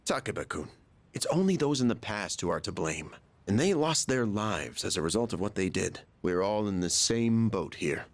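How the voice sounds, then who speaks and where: A man's voice: on the low side, expressive, moderate pace. A man playing a genial, persuasive middle-aged school chairman in a video game, explaining things eagerly. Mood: reassuring, earnest.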